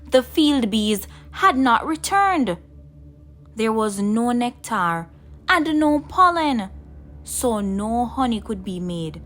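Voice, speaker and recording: A woman reading a scripted children's story as a narrator, expressive and unhurried, with deliberate pauses. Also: on the high side. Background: background music.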